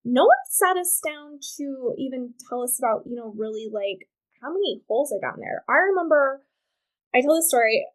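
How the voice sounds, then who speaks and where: A woman's voice: high-pitched, normal speed, rough recording. A woman from Wyoming, a podcast guest who advocates for reproductive rights, telling candid and funny stories in casual chat. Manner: animated, candid, comic storyteller.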